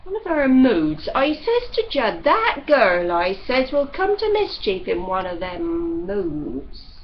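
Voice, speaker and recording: A woman voicing a bossy, gossiping country housekeeper with a rustic West Country accent and loud, complaining manner in an audiobook. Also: medium pitch, huge pitch swings, slow. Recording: dull lo-fi audio with light noise.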